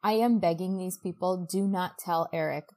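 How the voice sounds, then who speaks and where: A woman's voice: on the low side, normal speed, a little mellow. Casual, wry woman podcaster. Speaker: podcast co-host. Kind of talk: casual book-recap chat with quoted asides.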